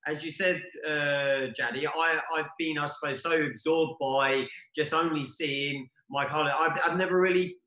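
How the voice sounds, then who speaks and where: A man co-hosting a podcast, speaking earnestly in halting, self-correcting phrases. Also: a little high, steady tone, sharp tone. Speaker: podcast co-host. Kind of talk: podcast conversation. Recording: roomy echo.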